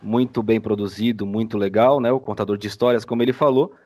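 A man's voice: fairly low pitch, steady tone, fast, warm tone. A Brazilian man chatting casually as a guest in a podcast interview. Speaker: podcast guest, audio drama creator.

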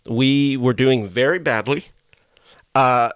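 Hoarse man rambling on a solo podcast. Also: mid-range voice, slow, no room sound. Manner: rambling, self-correcting, frequent uh fillers. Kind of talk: casual solo podcast chat. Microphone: desk mic with iPhone as webcam.

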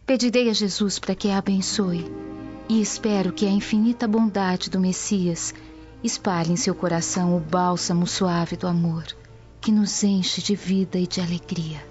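An actress playing a devoted, pious young Roman daughter in a radio drama. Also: female voice, fairly low pitch, steady tone, normal speed, a little mellow, natural voice. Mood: tender, devout. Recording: dull lo-fi radio drama audio. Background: music bed.

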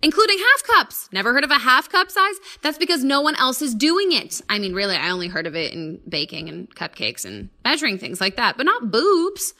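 A woman's voice: on the high side, sing-song, fairly quick, few pauses, bright, forceful tone. A woman hosting a podcast in a casual, playful, chatty style with informal asides. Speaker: podcast host. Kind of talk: casual chatty podcast talk and host-read ad.

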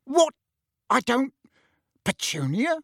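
A man's voice: a little high, lively intonation, moderate pace, slightly rough, no room sound, clean studio sound, full character voice. A man voicing a blustering middle-aged uncle in an audiobook, easily deflated and prone to sputtering. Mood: bewildered, timid. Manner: roaring, sputtering, stammering when unsettled.

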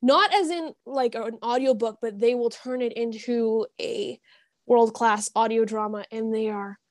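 Female podcast guest, fairly high voice, enthusiastic conversational delivery, very dry recording. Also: steady tone, a little slow, dry recording. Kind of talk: casual chat.

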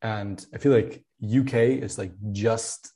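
A young man from Spain, chatting casually as an interview guest. His voice sits a little low, and he rambles through restarts and fillers, laughing often as he goes. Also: fairly even intonation, takes it slowly, dark tone. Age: 20s. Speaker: podcast guest, product designer.

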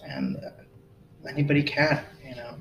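A man's voice: mid-range voice, big pitch swings, distant mic, dull audio. Young man telling a personal story. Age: 20s. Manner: halting, many fillers and restarts. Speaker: podcast host. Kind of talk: personal storytelling and casual chat. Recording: roomy and off-mic with music behind.

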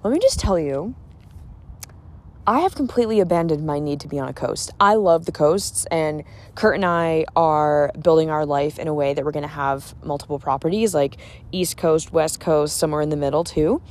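Gushing American woman with a lowish voice. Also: normal speed, a little mellow. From Boston area, eastern United States. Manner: gushing, emphatic, informal with mild swearing. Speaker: podcast host and confidence coach. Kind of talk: casual solo podcast monologue with motivational pep talk.

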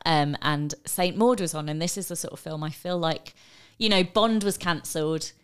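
A woman's voice: deep voice, moderate pace, clear, resonant tone. A woman co-hosting a podcast, chatting enthusiastically about a film, with lots of fillers and restarts. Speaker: podcast co-host. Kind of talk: casual film discussion.

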